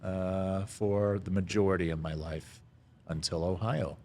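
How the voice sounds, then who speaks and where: A middle-aged man, a guest telling his life story in a casual interview, pausing often on "uh" and chuckling now and then. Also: low voice, fairly even intonation, a little mellow. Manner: halting, lots of "uh" fillers, chuckles now and then. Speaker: restaurant founder, podcast guest.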